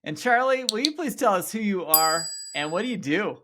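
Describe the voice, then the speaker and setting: A man hosting a podcast interview, enthusiastic and quick to affirm his guest. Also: high-pitched, animated, very noisy. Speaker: podcast host.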